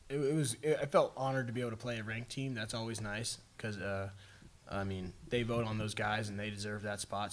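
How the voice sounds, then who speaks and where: Fast-talking young American athlete. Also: male voice, fairly low pitch. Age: 20s. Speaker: college football player. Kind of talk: casual press conference answers. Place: indoor press conference room. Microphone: table microphone. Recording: faint room noise.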